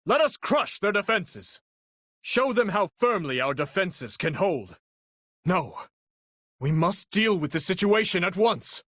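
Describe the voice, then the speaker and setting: Measured, noble young knight-commander. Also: male voice, a little high, a bit bright, dry recording, studio quality. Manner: formal, measured, earnest delivery. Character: noble, earnest young male knight-commander, solemn and resolute. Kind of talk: dramatic video game voice acting.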